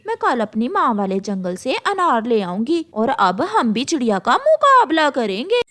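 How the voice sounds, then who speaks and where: A woman's voice: a little high, sing-song, moderate pace, fairly bright tone. A woman voicing a scheming, bossy female crow in a children's cartoon, with a pushy, sing-song delivery.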